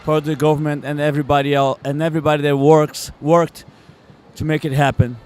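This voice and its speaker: A man, giving brief relaxed remarks as co-commentator. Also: on the high side, a little fast, clear, resonant tone. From Brazil.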